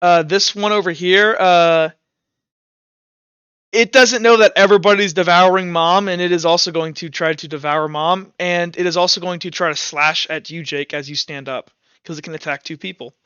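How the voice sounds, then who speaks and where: A man's voice: sits high, steady tone, normal speed, fairly bright tone, clean tone, no echo, highs cut off. A young man running a tabletop roleplaying game as game master, talking informally and thinking aloud as he narrates.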